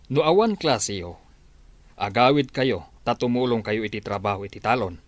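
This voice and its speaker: A man's voice: mid-range voice, lively intonation, normal speed, no echo, natural voice. A man playing a stern, demanding middle-aged farmer father in an Ilocano radio drama.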